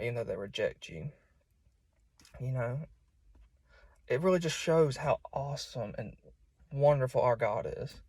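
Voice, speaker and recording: A man's voice: mid-range voice, darkish tone, no echo. A young man with a Southern US accent, preaching in an informal, folksy and conversational way. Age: young adult. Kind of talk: informal sermon. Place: solo self-recording.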